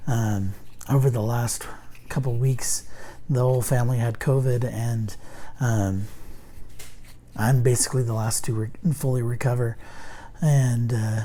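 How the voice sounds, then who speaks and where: A man hosts a relaxed card review while his voice is nearly gone, so it sounds hoarse and strained. His tone is dark and mellow. He talks in a halting, meandering way, with frequent ums and restarts. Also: mid-range voice, slight background noise. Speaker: host reviewing a card game deck. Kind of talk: casual unboxing and card review.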